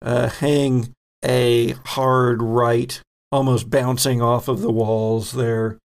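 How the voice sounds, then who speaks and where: A man running a tabletop game as game master, setting scenes in an unhurried, descriptive way. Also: medium pitch, little pitch movement, gravelly.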